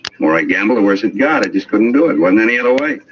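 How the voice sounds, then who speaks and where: An actor playing a cornered, defensive American gambler with a terse, agitated manner, in a 1950s radio crime drama. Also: male voice, on the low side, animated, fast, natural voice. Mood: desperate, bitter confession. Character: cornered, defensive gambler man turned bitter confessor. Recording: dull, hissy old radio recording.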